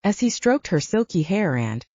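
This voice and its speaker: A woman's voice: lowish voice, sing-song, normal speed, warm tone, no echo, lo-fi sound. A woman narrating a scripted novel as an audiobook reader.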